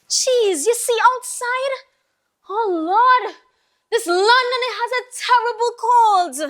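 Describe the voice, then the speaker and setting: Young woman acting high-voiced, weary old Creole woman. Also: fairly bright tone, full character voice. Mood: cold, complaining. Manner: slow, lamenting, rhetorical, with sighing exclamations. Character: old Jamaican Creole woman, weary war nurse, wistful and proud. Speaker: monologue performer. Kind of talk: theatrical dramatic monologue.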